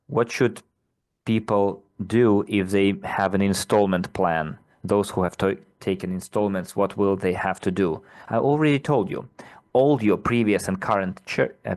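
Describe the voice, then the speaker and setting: A man hosting a live broadcast Q&A, answering questions in a direct, firm and brisk way. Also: low voice, gravelly, darkish tone. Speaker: company presenter hosting a live Q&A.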